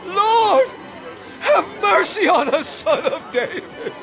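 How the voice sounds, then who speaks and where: An actor playing a desperate blind beggar in a Bible audio drama, crying out and pleading for mercy. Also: male voice, very high voice, very animated, slow pace, a bit bright, faint music behind, exaggerated voice.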